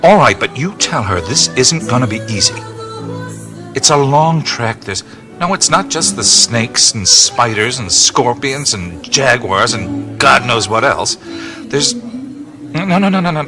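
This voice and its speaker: An actor playing a seasoned, wry jungle adventurer in an audio drama, musing and halting with dry humor. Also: male voice, mid-range voice, sing-song, music in the background. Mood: urgent warning.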